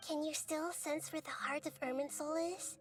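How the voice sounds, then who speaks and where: Video game role: woman as childlike young goddess, high register, gentle, composed and quietly in charge. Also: moderate pace, natural voice. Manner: calm, kindly, composed authority. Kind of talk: animated video game voice acting. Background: music.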